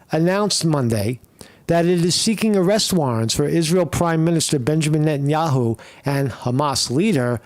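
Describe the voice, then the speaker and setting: A man's voice: on the high side, a little mellow, dry recording. A man giving rambling, profane commentary while watching videos, full of fillers and restarts. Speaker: commentary streamer.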